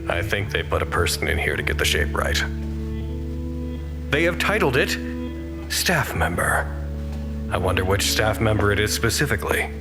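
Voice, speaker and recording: A man acting as a wry communications head in an audio drama, describing things in a dry, measured way. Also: fairly low pitch, sing-song, creaky, over music. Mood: dryly observant. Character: wry, observant communications head reporting on an art show. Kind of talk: audio drama narration into a radio pack.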